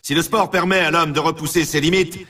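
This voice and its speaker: A man playing an excitable, grandiose snowboard championship announcer in a video game, delivering scripted, theatrical sports commentary. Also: on the high side, a little fast, breathy edge, recorded from a distance. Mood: hyping, grandiose. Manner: theatrical, grandiose, hyping the event.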